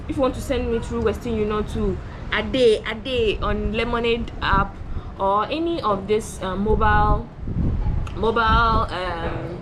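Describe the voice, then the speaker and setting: A woman around thirty, talking excitedly to camera in a casual vlog, bubbly and repeating herself. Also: fairly high pitch, background noise. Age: 30s. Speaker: travel vlogger. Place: at work on a rainy morning.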